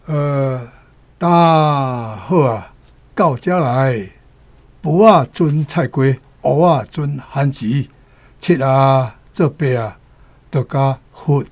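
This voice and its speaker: A man with a Taiwanese accent explaining words and idioms, repeating them deliberately. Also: medium pitch. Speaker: language commentator.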